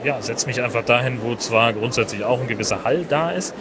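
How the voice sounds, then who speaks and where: A man's voice: mid-range voice, fairly quick. Chatty man podcasting outdoors amid noise. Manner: chatty, many fillers and restarts. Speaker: podcast host. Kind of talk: casual solo podcast recap. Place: outdoors at a busy conference venue. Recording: noisy outdoor background. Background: crowd and traffic ambience.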